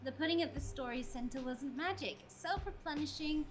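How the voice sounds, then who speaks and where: A woman's voice: high-pitched, brisk, slight background noise. A woman reading a children's book aloud in a dramatic group reading. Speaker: reader in an online group reading. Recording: music clearly behind voice.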